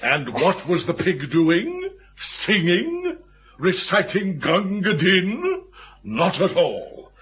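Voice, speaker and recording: An opinionated middle-aged English gentleman for a radio comedy. He speaks in upper-class tones, somewhat high and full of bounce, relishing every emphatic point. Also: wildly swooping pitch, slow pace, full character voice. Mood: indignant storytelling. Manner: emphatic, anecdotal, blustery. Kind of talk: BBC radio comedy drama acting.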